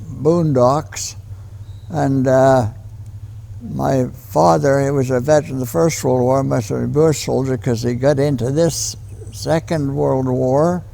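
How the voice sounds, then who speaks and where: Centenarian male veteran, western Canadian, deep fry and gravel in a mellow voice, folksy, halting reminiscence. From Calgary, western Canada. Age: 100. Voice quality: gravelly, creaky. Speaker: war veteran interviewee. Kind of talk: personal reminiscence in an interview.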